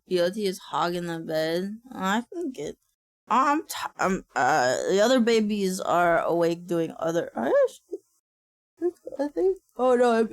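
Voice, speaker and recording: A woman streaming from her desk, chatting casually. She sounds tired and a little raspy, swears freely and laughs often. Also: mid-range voice, dry recording, clean studio sound. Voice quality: raspy when sleepy. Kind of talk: casual livestream chat.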